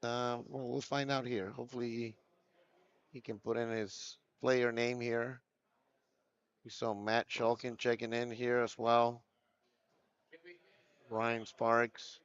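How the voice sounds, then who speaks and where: A man's voice: mid-range voice, little pitch movement, slow pace, long pauses, smooth, dry recording. Male live-stream commentator, casual and chatty, dry close sound with a dull lo-fi edge. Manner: chatty, reads out chat names. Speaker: tournament commentator.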